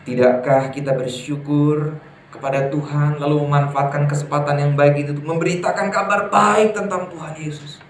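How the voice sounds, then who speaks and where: A man preaching a sermon to a congregation, lively and emphatic, often putting questions to his listeners. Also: fairly high pitch, moderate pace, slight background noise. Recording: echoey hall from far off, faint ambience.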